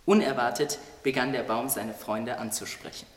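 The sound is echoey and slightly distant, as in a hall. A man with a medium-pitched voice narrates a scripted story for a radio play. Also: fairly even intonation, moderate pace.

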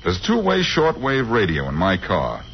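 An actor playing a wry, cool-headed amateur detective in a 1940s radio drama, on a muffled, noisy old recording. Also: male voice, on the low side, wildly swooping pitch, normal speed. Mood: wry, confident. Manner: wry, sardonic.

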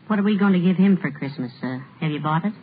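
An actress playing a gentle, patient middle-aged wife in a 1950s radio comedy, polite in manner, on a tinny, hissy old recording. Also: female voice, lowish voice, lively intonation, quick pace, natural voice. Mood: gentle, inquiring.

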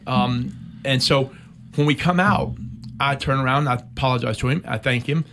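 A middle-aged American man, a military veteran, recounting a personal war story in an interview with measured, reflective pacing and frequent pauses. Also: medium pitch, a bit bright, a little hiss or hum. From United States.

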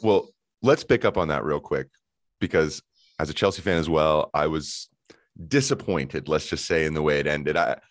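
A man hosting a casual football podcast, joking and animated, with frequent restarts. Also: a bass voice, moderate pace, no room sound, studio quality. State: hoarse, voice shot in intro. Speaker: podcast host.

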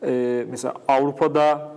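A man's voice: mid-range voice, level tone, darkish tone, clear, resonant tone. A man hosting a casual, unscripted interview, searching for words and often restarting his sentences. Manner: halting, searching for words, many false starts. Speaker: interview host. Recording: echoey hall-like reverb.

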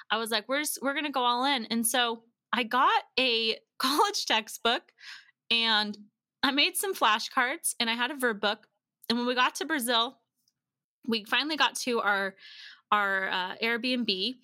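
Bright, high-voiced woman telling stories. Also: dry recording, studio quality. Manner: animated retelling with acted-out quotes and frequent "like". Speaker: podcast guest. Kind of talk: casual storytelling in conversation.

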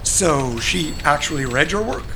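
Bright, high-pitched, fussy male agent. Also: lively intonation, measured pace, slight background noise, rough recording. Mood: placating, impatient. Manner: fussy, formal, condescending. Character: prissy, evasive, exasperated male publishing agent. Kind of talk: audio drama acting.

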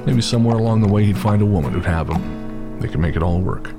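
A man narrating a western horror story in a measured, steady way. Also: deep voice, over music.

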